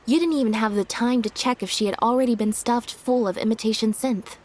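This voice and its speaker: A woman playing a calm, deductive young detective in a video game, speaking in measured, articulate and deliberate exposition. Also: a little high, close to an everyday voice. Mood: coolly accusing. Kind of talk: scripted video game dialogue. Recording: music behind the voice.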